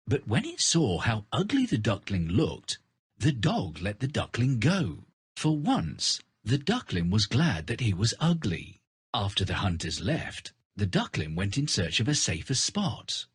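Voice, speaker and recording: A man's voice: on the low side, huge pitch swings, measured pace, slightly rough, no room sound, clean studio sound. A man reading scripted children's fairy tale narration. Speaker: storybook narrator.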